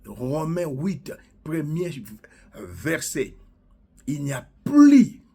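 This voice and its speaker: Slow husky-voiced preacher. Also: male voice, a little high, wildly swooping pitch, dark tone. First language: Lingala. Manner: fervent, punctuates lines with alleluia; slow, drawn-out declaring delivery with repetitions. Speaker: preacher and self-styled prophet. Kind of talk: prophetic sermon with declarations.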